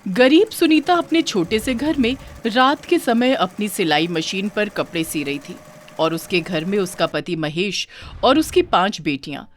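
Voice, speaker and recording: A woman's voice: medium pitch, lively intonation, measured pace, a little hiss or hum. A woman reading a scripted children's moral story as its narrator.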